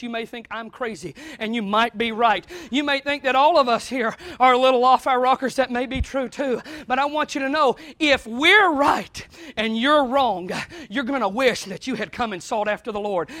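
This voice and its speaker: High-voiced preacher, bright and dry. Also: male voice, no echo. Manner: swings from low conversational talk to shouted preaching. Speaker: pastor. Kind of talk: sermon. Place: small church.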